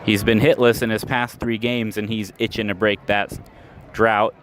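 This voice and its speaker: A man's voice: lowish voice, flat delivery, slight background noise. Man calling baseball play-by-play. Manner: measured, rises sharply on big plays. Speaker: play-by-play announcer. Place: ballpark, outdoors.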